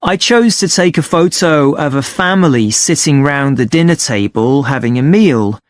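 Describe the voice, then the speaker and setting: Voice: medium pitch, moderate pace, no room sound, lightly acted. An actor playing a polite young amateur photographer, explaining things a little hesitantly in a scripted listening-test dialogue. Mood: reflective.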